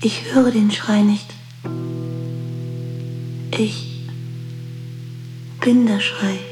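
An actress playing a haunted, distraught woman in a literary radio drama, speaking in a slow, incantatory way. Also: mid-range voice, fairly even intonation, music in the background, rough recording. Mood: haunted, questioning. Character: distraught woman, haunted, urging on and questioning herself.

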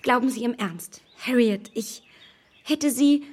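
A self-assured young gentlewoman of a period radio play, quick to take offence. Her voice sits fairly high and rings in a long reverberant space. She speaks in eloquent, emphatic, formal sentences. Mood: uneasy. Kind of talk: radio drama acting of a literary classic.

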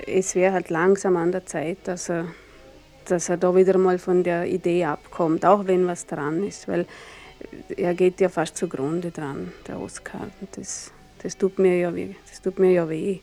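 An actress playing a modest, worried small-town wife with an Austrian accent, answering hesitantly and repeating herself in a documentary-style radio drama. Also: female voice, on the low side, little pitch movement, measured pace, warm tone. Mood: worried, resigned. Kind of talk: naturalistic mock-documentary interview in radio drama. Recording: music playing in the room behind. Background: classical music on a radio.

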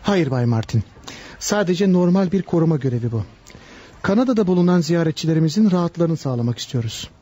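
Quick, mellow-voiced policeman. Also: a little high, animated, slightly rough. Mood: polite, official. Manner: formal, deferential. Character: polite, firm policeman bodyguard. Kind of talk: Turkish radio theatre acting.

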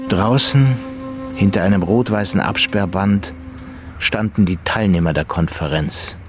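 A man narrating a crime radio play in a low, measured voice. Music runs clearly under his narration. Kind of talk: scripted narration.

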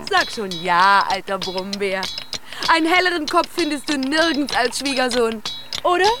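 An actress playing an eager, adoring young dwarf daughter in a children's radio play, coaxing and excited. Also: female voice, on the high side, moderate pace, noisy background, close to an everyday voice.

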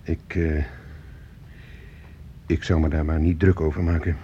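An actor playing a grave senior policeman in a radio play, authoritative and measured. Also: rumbling low, little pitch movement. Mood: calm, reassuring. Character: grave senior police officer, steady and in charge. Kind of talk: radio drama acting. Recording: muffled, noisy old radio play recording.

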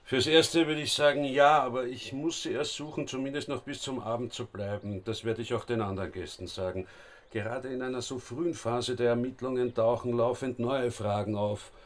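An actor with an Austrian accent playing a dry, cautious police inspector in a radio drama, formal and firm. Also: male voice, mid-range voice, close to an everyday voice. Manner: measured, formal questioning.

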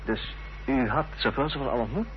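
An actor playing an earnest, probing gentleman in a radio drama, with a grave, measured way of questioning. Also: mid-range voice, lightly acted. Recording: muffled, noisy old radio recording.

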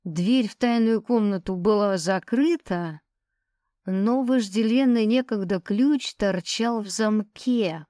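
A woman's voice: medium pitch, takes it slowly, warm tone, no room sound. Woman narrating fiction, very dry close sound. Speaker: audiobook narrator. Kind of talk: scripted first-person fiction narration.

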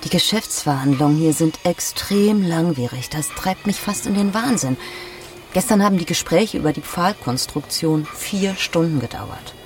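An actress playing an exasperated German business expat, venting conversationally with English business words mixed in. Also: female voice, on the low side, lively intonation, normal speed, soft background music, natural voice. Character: exasperated German business expat in China recounting endless negotiations. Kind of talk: documentary audio drama monologue.

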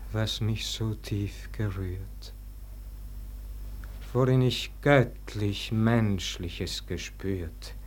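An actor playing a melancholy, world-weary scholar in a classic radio drama, declaiming verse lyrically and solemnly. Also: male voice, on the low side. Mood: subdued disappointment. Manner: solemn, lyrical declamation swinging between hushed murmur and fervent swell. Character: melancholy world-weary scholar, rapt by music, longing for lost life. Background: music.

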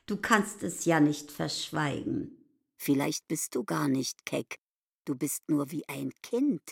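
A woman's voice: low voice, expressive, slow, vocal fry, a little mellow, slightly rough, full character voice. An actress playing a haughty, suspicious inn landlady in a literary radio drama, talking in an imperious, probing, mocking way. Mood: condescending.

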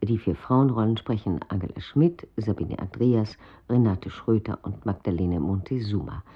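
A man with a soft, breathy voice reading a scripted radio introduction as an announcer. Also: lowish voice, highs cut off.

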